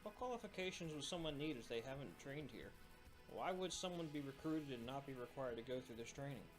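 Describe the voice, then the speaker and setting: A skeptical male student played by a man speaks in an audio drama. His delivery is doubtful and questioning, at an average pitch, with music clearly audible behind him. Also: lively intonation, brisk, lightly acted, low-quality recording. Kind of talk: scripted audio drama dialogue.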